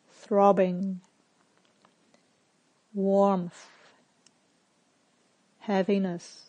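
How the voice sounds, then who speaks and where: A woman meditation teacher leading a guided meditation in short, measured phrases with long pauses. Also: mid-range voice, mellow, rough recording.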